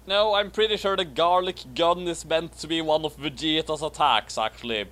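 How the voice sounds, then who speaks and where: A man's voice: on the high side, moderate pace, fairly bright tone. Man giving casual comedic commentary. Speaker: commentary host reading fan fiction aloud. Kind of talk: casual comedic commentary with read-aloud narration.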